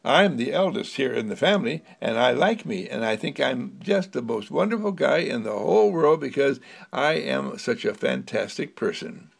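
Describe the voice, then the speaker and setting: A man's voice: medium pitch, sing-song, moderate pace, creaky, husky, heavily acted. A man voicing a boastful eldest boy in a sing-song, bragging manner.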